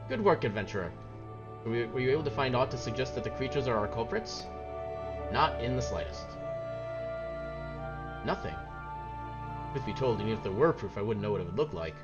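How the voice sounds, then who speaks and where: A man reading the lines of an earnest fantasy game quest giver, in old-fashioned, measured phrasing over game music. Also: medium pitch, lively intonation, dull audio, lightly acted.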